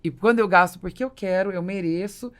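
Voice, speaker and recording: A woman's voice: mid-range voice, a little fast. A woman with a Brazilian accent hosting a casual business podcast, talking emphatically and explaining through personal examples. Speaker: podcast host.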